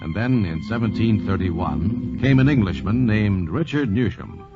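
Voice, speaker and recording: A male narrator tells history in a dramatic 1940s radio style, with an average pitch that rises and falls widely. The recording is dull and old, with clear music behind. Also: lively intonation, measured pace.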